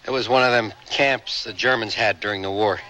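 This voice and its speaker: An actor playing a blunt, defensive American working-class war veteran in a radio drama, talking colloquially and edgily. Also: male voice, fairly low pitch, steady tone, fairly quick, bright, forceful tone, rough recording. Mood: dismissive. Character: gruff, defensive working-class Vietnam veteran, dismissive and edgy.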